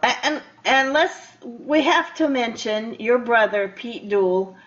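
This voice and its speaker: A woman's voice: on the high side, animated, slow pace, fairly bright tone, lo-fi sound. An older woman hosting a casual radio interview, chatty and rambling as she talks to her guest. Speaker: radio show host.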